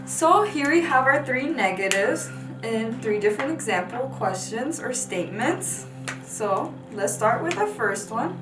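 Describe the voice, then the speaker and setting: A young woman with a fairly high voice explains a lesson step by step, patient and clear as she points at her examples. The room rings with echo, and music plays behind her. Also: animated, measured pace. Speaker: language teacher. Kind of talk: scripted lesson narration.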